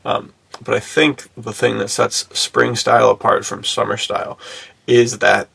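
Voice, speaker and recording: A man's voice: mid-range voice, flat delivery, a little slow, vocal fry, fairly bright tone, husky, no echo. A man hosting a podcast in casual chat, talking in a laid-back, slangy way with lots of "dude". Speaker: podcast host.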